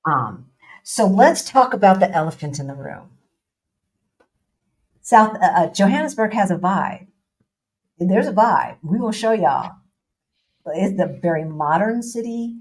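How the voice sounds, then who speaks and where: A woman's voice: medium pitch, animated, unhurried, long pauses, a little mellow. A woman hosting a casual live-stream interview, chatty and repeating phrases, with loose casual slang. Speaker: host.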